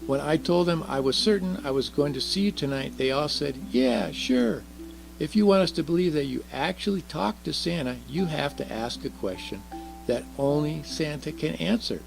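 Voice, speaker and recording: A man voicing a curious, earnest little girl in a children's storybook, soft and chatty, asking and explaining eagerly. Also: a little high, moderate pace, gravelly, faint music behind, pitched above the performer's own voice, low-quality recording. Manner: eager questioning, explaining carefully. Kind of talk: children's storybook read aloud.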